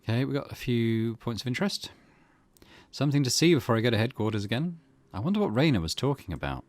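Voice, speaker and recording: A man gives let's play commentary on a narrative game. His voice is fairly low and very animated in pitch. The sound is dry and close. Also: no echo. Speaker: gaming channel host.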